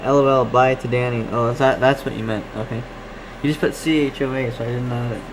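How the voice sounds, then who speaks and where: Man, mid-pitched voice, casual rambling live-stream chat, distracted, clear background noise. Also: fairly even intonation, a little fast. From Canada. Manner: rambling, distracted, thinking aloud. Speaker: live stream host, radio hobbyist.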